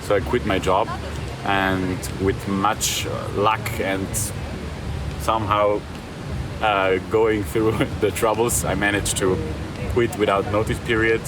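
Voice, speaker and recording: Young German-native man, very low voice, hesitant answers, noisy outdoors. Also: level tone, slow. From South Tyrol, Italy. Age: 20s. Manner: hesitant, searching for words. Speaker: interviewee. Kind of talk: casual interview answers.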